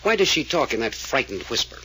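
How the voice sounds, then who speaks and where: An actor playing a blunt, insistent private investigator in a 1940s radio drama, speaking in a firm, probing way. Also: male voice, medium pitch, very animated, a little fast, sharp tone. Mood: pressing, grave. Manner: firm, probing, matter-of-fact. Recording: noisy vintage radio recording.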